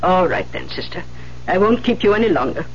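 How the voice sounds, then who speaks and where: An actress playing a stern, reproachful elderly Mother Superior in a radio drama, scolding and sardonic with weighty pauses. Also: on the low side, lively intonation. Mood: stern, weary. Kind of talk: 1970s radio drama acting. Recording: muffled, noisy 1970s radio recording.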